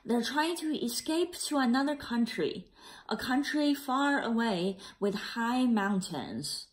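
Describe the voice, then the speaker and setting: A woman reading a children's picture book aloud in a careful, slightly halting way. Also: fairly high pitch, expressive. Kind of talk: read-aloud storytelling.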